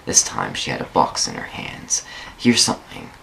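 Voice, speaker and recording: A man's voice: a bass voice, lively intonation, normal speed, gravelly, bright, forceful tone, a little hiss or hum, rough recording. A man giving a theatrical dramatic reading of a first-person story, drawing out words for emphasis. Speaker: amateur dramatic reader of online fan fiction.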